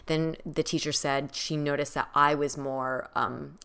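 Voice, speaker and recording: A woman talking softly and reflectively in casual podcast chat, using lots of filler words. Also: sits low, fairly even intonation, measured pace, creaky, clean tone. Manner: reflective, soft-spoken, many "like" fillers. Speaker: podcast co-host.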